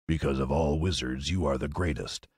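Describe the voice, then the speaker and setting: A man's voice: a bass voice, normal speed, a little mellow, husky, no echo, studio quality, voice pushed down, full character voice. A man voicing a cowardly lion who talks big but is timid at heart, in a storybook reading. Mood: humble, pleading.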